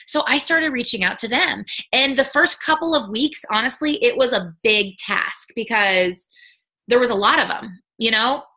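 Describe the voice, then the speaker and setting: A woman talking casually on a video call as a guest, upbeat and chatty, with many quick restarts. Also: a little high, bright, forceful tone. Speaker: guest sales ambassador. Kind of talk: casual live-stream chat.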